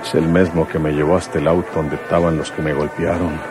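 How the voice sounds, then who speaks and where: A man's voice: a bass voice, flat delivery, fairly quick. An actor playing a bitter, vengeful man with a Mexican accent, muttering scornful asides in a radio drama monologue. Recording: music behind the voice.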